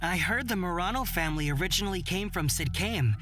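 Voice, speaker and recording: A playful, smug rogue in a fantasy game, with lively swings in pitch. He teases nonchalantly over a music bed. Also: a little fast. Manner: teasing, nonchalant. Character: cocky, flirtatious, playful rogue companion. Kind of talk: visual novel voice acting.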